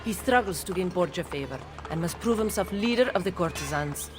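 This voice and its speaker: A woman's voice: fairly low pitch, animated, brisk, over music, close to an everyday voice. A woman playing a composed, shrewd head of courtesans in a video game, with an Italian accent. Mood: calculating. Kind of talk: dramatic video game cutscene acting.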